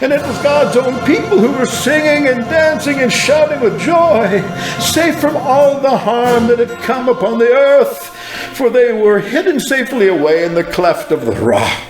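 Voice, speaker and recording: A man's voice: very high voice, lively intonation. A man playing a fervent mid-century evangelist recounting an apocalyptic vision in a solemn, dramatic monologue. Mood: joyful. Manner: solemn, slow, dramatic storytelling. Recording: roomy echoing voice over music. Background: music.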